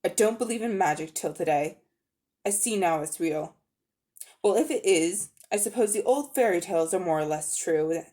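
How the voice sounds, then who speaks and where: A woman voicing a brave, hot-tempered schoolboy in a dramatic storybook reading, speaking bluntly and forcefully. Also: lowish voice, expressive, moderate pace, lightly acted. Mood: defiant. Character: indignant, brave, outspoken English schoolboy.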